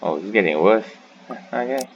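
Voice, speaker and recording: A man's voice: fairly low pitch, lively intonation, moderate pace, highs cut off, a little hiss or hum. A man giving casual, muttered playthrough commentary as he hosts a gaming video.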